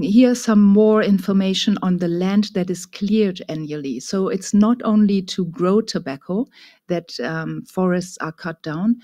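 A woman's voice: lowish voice, dark tone, no room sound, studio quality. German-accented woman presenting slides. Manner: measured, explanatory, with fillers. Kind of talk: remote presentation.

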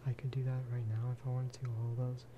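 Casting: male ASMR gamer, hushed soft-spoken delivery, middle pitch, unhurried, noisy recording. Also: completely flat delivery, dull audio. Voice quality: soft, hushed voice, at times breathing into whisper. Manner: unhurried, low-key, musing aloud. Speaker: gaming video creator.

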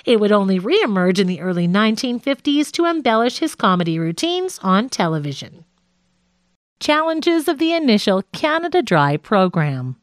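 A woman narrating a scripted nonfiction audiobook in a measured, articulate way. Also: medium pitch, big pitch swings, no room sound, studio quality.

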